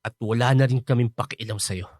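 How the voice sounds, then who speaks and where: A man's voice: mid-range voice, steady tone, fairly quick, a little mellow, natural voice. A man with a Filipino accent playing a bitter, hostile middle-aged father in a radio drama, curt and confrontational. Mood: bitter, accusing.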